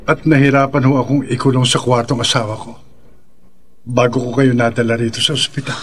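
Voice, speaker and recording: A man acting a desperate husband of a possessed wife, pleading earnestly with a husky voice in a radio drama. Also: mid-range voice, flat delivery, fairly quick, lightly acted. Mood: anxious, pleading.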